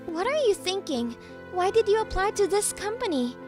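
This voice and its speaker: A woman's voice: extremely high pitch, very animated, normal speed, music in the background. A woman voicing a bossy, teasing young female boss and jilted ex in an anime-style manga dub, commanding and needling. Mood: stern, needling.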